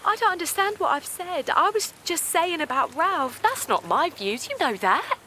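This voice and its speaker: A woman's voice: extremely high pitch, sing-song, moderate pace, sharp tone, a little hiss or hum, exaggerated voice, poor audio. An actress playing a naive, kindly middle-aged village woman in an audio drama, hesitant and defensive, with colloquial phrasing. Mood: upset, pleading. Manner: hesitant, colloquial, protesting, repeats herself. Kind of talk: naturalistic audio drama acting.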